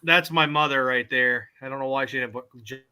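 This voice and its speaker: A man's voice: mid-range voice, fairly quick, bright, forceful tone, smooth. A man in his thirties from Vermont, speaking from his garage in a wry, self-deprecating way as a live stream guest. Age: 30s. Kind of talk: casual chat. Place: home garage.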